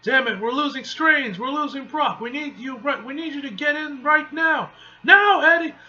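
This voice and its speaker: A man voicing embattled superheroes from a comic book, urgently calling for backup in a forceful, stumbling delivery. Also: sits high, animated, normal speed, voice pushed up, full character voice. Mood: desperate panic. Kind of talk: amateur comic book read-aloud acting. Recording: dull lo-fi mic with faint noise.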